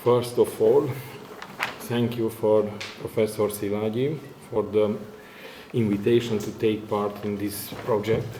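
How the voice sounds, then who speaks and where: Halting Romanian-accented male lecturer. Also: fairly low pitch, steady tone, warm tone. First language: not English, which is a fourth working language. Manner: very halting, hesitant, searching for words. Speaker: academic conference speaker, university lecturer. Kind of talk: formal conference presentation in non-native English. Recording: echoey room, faint background noise.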